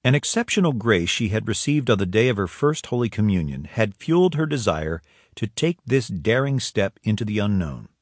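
Guy narrating a documentary, lively up and down pitch, dry close mic. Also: moderate pace, few pauses, dry recording. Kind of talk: scripted narration.

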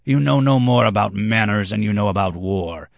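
A man voicing a drunken, boastful, impish nobleman who is quick to anger and speaks in emphatic declamation. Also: lowish voice, creaky, dry recording, studio quality, pitched above the performer's own voice. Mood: angry, insistent.

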